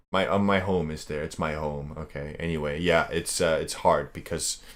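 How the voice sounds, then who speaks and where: A man's voice: a bass voice, fairly even intonation, normal speed. A young man from Latvia streaming casually, rambling in a laid-back way and calling everyone "bro".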